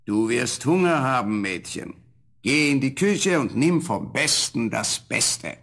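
An actor playing a kindly old man who gives gentle orders in a fairy-tale radio play. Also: mid-range voice, animated, exaggerated voice. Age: older. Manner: unhurried, gently commanding.

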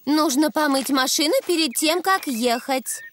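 A woman's voice: high voice, measured pace, fairly bright tone, slight background noise. A woman voicing a kindly, capable mother pig in a children's cartoon, with a motherly manner. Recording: background music under dialogue.

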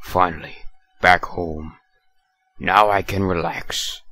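A man's voice: low-pitched, fairly even intonation, slow, pushed and bright, dry recording, heavily acted. A man voicing a grumpy, sarcastic middle-aged cartoon villain in a comic dub. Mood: weary.

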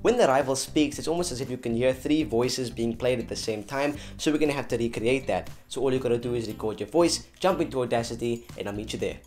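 A man's voice: mid-range voice, fast, hardly any pauses, a little mellow. A young man presenting a scripted tutorial in a chatty, playful way, addressing viewers directly. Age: young adult. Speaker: tutorial host. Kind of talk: scripted tutorial narration to camera. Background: background music.

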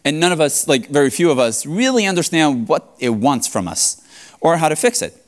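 A man in his thirties whose first language is Hebrew gives a joking technical talk from a stage, gesturing as he speaks. His voice sits a little high and swings widely in pitch. He speaks into a headset mic in a large conference hall. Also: a little fast. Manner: playful, joking, animated with hand gestures.

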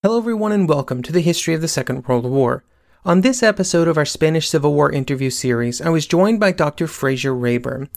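A man hosts a history interview podcast, with a somewhat high voice and a dry, close sound. He reads his introduction from a script and then asks his guest questions in a relaxed way. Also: fast, vocal fry, dry recording. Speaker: podcast host.

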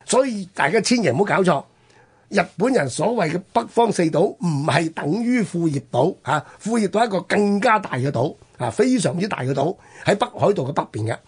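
Male history host, Hong Kong Cantonese, slightly high and husky, conversational storytelling in broken-up phrases. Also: sing-song, fairly quick. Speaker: radio history programme host.

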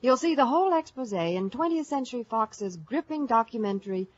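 A woman's voice: fairly high pitch, expressive, fairly quick. A woman reporting Hollywood news in scripted 1950s radio promotion. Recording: muffled vintage radio broadcast.

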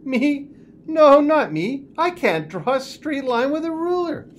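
A shy little boy from a storybook, as a man puts him on, full of self-doubt. He sounds earnest and emphatic, with a raised, bouncing pitch. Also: big pitch swings, slow pace, heavily acted.

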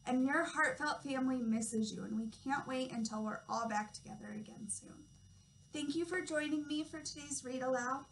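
High-voiced young woman reading to toddlers. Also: moderate pace, slight background noise, rough recording. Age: young adult. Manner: simple, instructive phrasing with questions to children. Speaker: toddler teacher reading aloud. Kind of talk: picture-book read-aloud for toddlers. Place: small classroom, indoors.

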